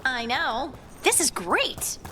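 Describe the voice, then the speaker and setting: An actress playing an eager, easily flustered young woman adventurer in a video game, earnest and excitable, halting when shy. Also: high voice, background noise, full character voice. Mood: upbeat. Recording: game music behind the voice. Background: game soundtrack music.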